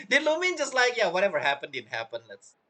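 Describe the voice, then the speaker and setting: Bright, animated man commentating a game. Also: high-pitched. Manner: halting, rambling, self-interrupting. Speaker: game streamer. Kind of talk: casual gameplay commentary.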